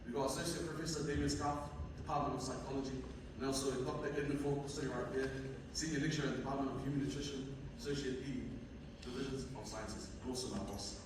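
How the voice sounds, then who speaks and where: A man's voice: mid-range voice, fairly even intonation, fast. Young Fijian man in a very echoey hall. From Fiji. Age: young adult. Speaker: undergraduate researcher presenting. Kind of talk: conference presentation, partly read from notes. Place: indoor conference room at a university. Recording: echoey hall, distant mic, noisy room.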